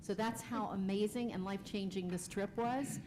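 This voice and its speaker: A woman's voice: medium pitch, steady tone, normal speed. A woman speaking as a parent in public comment at a meeting, effusive and emphatic. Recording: noisy meeting room sound.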